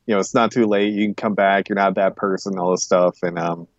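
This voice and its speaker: A man's voice: deep voice, steady tone, fairly quick, no echo, dull audio. A man co-hosting a podcast in a casual review chat, rambling, hesitant and often correcting himself. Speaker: podcast co-host.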